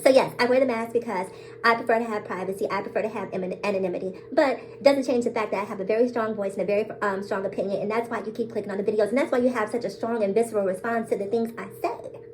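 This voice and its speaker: A woman's voice: medium pitch, fast, darkish tone, a little hiss or hum, rough recording. A woman vlogger talking straight to her viewers in a bold, sassy way, laughing often and calling them girl, sis and baby. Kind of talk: casual to-camera monologue.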